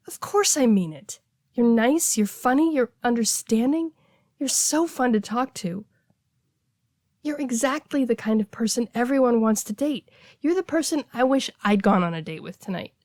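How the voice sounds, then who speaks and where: A woman playing a casual, blunt heartbreaker friend in an intimate audio roleplay, talking conversationally with hesitant, expressive delivery. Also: on the high side, moderate pace, no echo, studio quality, natural voice. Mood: indignant, admiring. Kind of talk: intimate one-sided audio roleplay, naturalistic dialogue.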